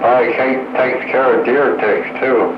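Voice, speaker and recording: A man's voice: mid-range voice, steady tone, takes it slowly, muffled recording. A man chatting casually over a two-way radio as an amateur operator. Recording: roomy, noisy two-way radio audio.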